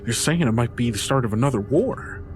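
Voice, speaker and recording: A man's voice: medium pitch, animated, brisk, music in the background, lightly acted. A man voicing a neighborly, rueful country woman with folksy, hesitant phrasing in a tabletop roleplay. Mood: troubled, rueful. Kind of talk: improvised in-character tabletop roleplay.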